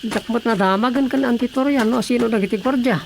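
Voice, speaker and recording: A man's voice: very high-pitched, fairly quick, vocal fry, a little hiss or hum. An actor playing a comic grown son with an Ilocano Filipino accent, helping his father in a radio drama comedy. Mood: casual.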